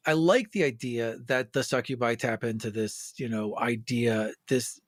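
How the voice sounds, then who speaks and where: A man with a mid-pitched voice chats casually about plans. He is tentative, working ideas out as he speaks, and the recording is very dry. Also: measured pace, no room sound. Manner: tentative, thinking aloud. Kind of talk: casual planning chat.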